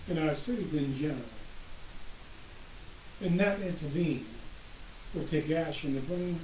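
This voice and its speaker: An older man preaching as a pastor from his home office with a slightly hoarse voice, reading scripture in a slow, measured way and clearing his throat at times. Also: fairly high pitch, darkish tone. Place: home office, seated at a desk. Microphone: webcam across the room. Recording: roomy webcam recording with hiss.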